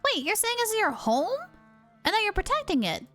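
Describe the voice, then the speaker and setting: A woman voicing a small, chatty, excitable fairy companion from a video game, exclamatory and curious. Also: fairly quick, faint music behind, full character voice. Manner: squeaky, exclamatory, refers to self in third person. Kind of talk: video game dialogue read aloud.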